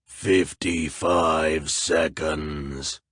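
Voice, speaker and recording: A man voicing a huge, menacing flesh golem monster that speaks slowly with a deep, wet, gurgling voice. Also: fairly even intonation, fairly bright tone, husky, dry recording, clean studio sound, exaggerated voice. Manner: slow, deep, gurgling and distant.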